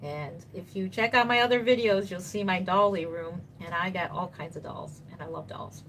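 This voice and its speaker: Chatty woman in her fifties, low voice. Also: moderate pace, a bit bright, a little hiss or hum, rough recording. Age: 50s. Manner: chatty, reassuring, answers viewers while painting. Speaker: reborn doll artist live-streaming. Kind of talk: casual live-stream chat reading comments.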